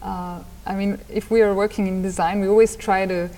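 A woman's voice: mid-range voice, flat delivery, brisk, warm tone. Austrian-accented woman with stop-start answers. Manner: hesitant, with frequent repeated words and restarts. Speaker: designer and design teacher. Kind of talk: conversational interview answers. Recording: reverberant room with faint ambient noise.

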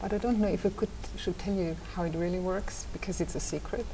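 A woman's voice: lowish voice, quick pace. Austrian-accented woman with stop-start answers. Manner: hesitant, with frequent repeated words and restarts. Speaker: designer and design teacher. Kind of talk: conversational interview answers. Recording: reverberant room with faint ambient noise.

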